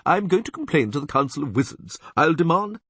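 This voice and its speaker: A man's voice: a little high, big pitch swings, a little fast, a bit bright, slightly rough, no room sound, pitched above the performer's own voice, exaggerated voice. Man playing a pompous portly chamberlain. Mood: haughty. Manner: pompous, emphatic. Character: portly haughty pompous older court chamberlain. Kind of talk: audiobook character voice.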